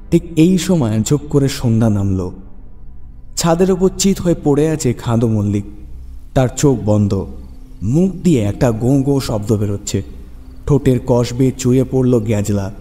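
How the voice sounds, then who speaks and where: A man's voice: medium pitch, dark tone. A man narrating a scripted horror story dramatically for a radio show. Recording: long reverb over music. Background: background music.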